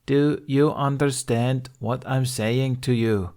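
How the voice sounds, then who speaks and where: A man's voice: medium pitch, steady tone, takes it slowly, darkish tone, pitched above the performer's own voice. A man voicing a brusque, wary village widow in an audiobook, with curt, folksy, no-nonsense phrasing. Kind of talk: audiobook character voice.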